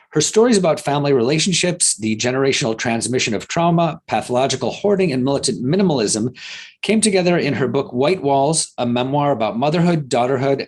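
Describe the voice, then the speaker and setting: A man's voice: mid-range voice, husky. A man moderating a memorial event, reading out speaker introductions with frequent uh sounds, recorded over a video call. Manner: reads bios with frequent filler sounds.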